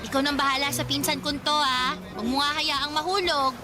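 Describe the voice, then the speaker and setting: A woman's voice: sits high, fairly even intonation, normal speed, over loud music, natural voice. An actress playing a playful young woman who teases like a matchmaking cousin in a radio drama. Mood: playful teasing. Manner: playful, teasing. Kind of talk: Filipino radio drama acting.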